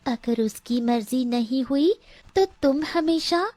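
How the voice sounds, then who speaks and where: A woman voicing a magical talking myna bird in an animated fairy tale, stern and full of warnings. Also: high voice, slow pace, no room sound, soft background music, pitched above the performer's own voice, heavily acted. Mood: commanding, cautioning. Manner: menacing, warning, declamatory.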